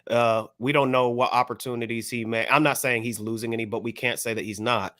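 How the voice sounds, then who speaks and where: A man's voice: mid-range voice, steady tone, brisk, sharp tone. A man on a podcast panel in casual discussion, insistently spelling out his points.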